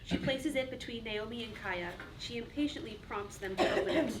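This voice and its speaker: Fairly high-voiced woman narrator, distant. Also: a little fast. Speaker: narrator reading stage directions. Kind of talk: scripted narration. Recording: distant mic, clearly noisy room.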